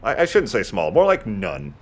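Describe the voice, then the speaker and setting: Low-voiced man, joking gaming commentary. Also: wildly swooping pitch, normal speed. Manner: joking, slangy. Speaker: let's play co-host. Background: video game ambience.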